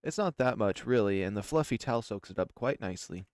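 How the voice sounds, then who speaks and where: A man reading a first-person story aloud in an expressive narrating style. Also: on the low side, fast, no echo, clean studio sound. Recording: music under the voice. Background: background music.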